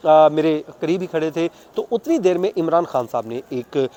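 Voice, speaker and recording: Man, Pakistani accent, slightly high voice, court reporter, conversational, detailed recounting, English legal terms mixed in. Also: lively intonation, normal speed, warm tone. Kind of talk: unscripted news account of a court hearing.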